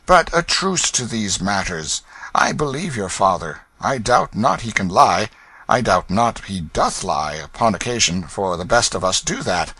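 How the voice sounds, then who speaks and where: A man's voice: on the low side, big pitch swings, a little slow, bright, forceful tone, breathy edge, no echo, exaggerated voice. A man doing a sly, bullying beggar-thief. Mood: scoffing advice. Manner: scornful, wheedling, bullying. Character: sly wheedling young male beggar-thief.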